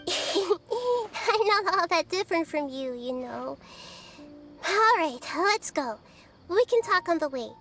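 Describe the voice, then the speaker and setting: A woman voicing a small, gentle, wise and curious girl deity in a video game, thoughtful with a playful touch. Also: very high voice, big pitch swings, slow pace, heavily acted. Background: background music.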